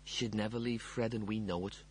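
A man's voice: lowish voice, fairly even intonation, moderate pace, slight background noise, lightly acted. A man voicing a grumpy, blunt and bewildered young man for an audiobook. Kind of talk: audiobook character voice.

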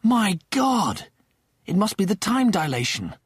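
A man voicing an uptight, panicky, whiny crewman in a comic science fiction audiobook, exclamatory and highly strung. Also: sing-song, moderate pace, no room sound, studio quality. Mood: agitated. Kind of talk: audiobook character acting.

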